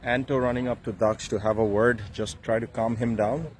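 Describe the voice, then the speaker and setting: A man from Bangladesh with a Bangladeshi English accent, giving relaxed, halting cricket commentary full of fillers outdoors by the field. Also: medium pitch. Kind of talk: casual live cricket commentary.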